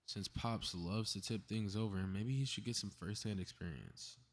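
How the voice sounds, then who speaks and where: A man plays a cocky young superhero with a fairly low voice. He mutters sarcastic, mischievous asides to himself, casually profane, in the manner of an ASMR roleplay audio drama. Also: moderate pace, slight background noise, natural voice. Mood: sarcastic, irritated. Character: cocky, snarky young male superhero playing invisible mischief-maker.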